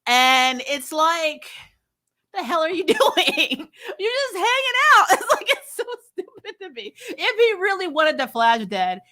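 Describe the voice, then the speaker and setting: Bright-voiced woman giving opinionated recaps. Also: high voice. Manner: animated, sarcastic mock-quoting, mild swearing. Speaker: host of a TV review channel. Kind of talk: casual, opinionated episode recap.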